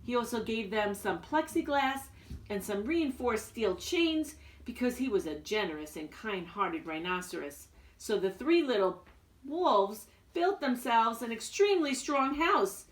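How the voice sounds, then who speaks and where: A woman's voice: a little high, animated, measured pace. A woman reading a children's storybook aloud with dramatic emphasis, like a school principal addressing young listeners.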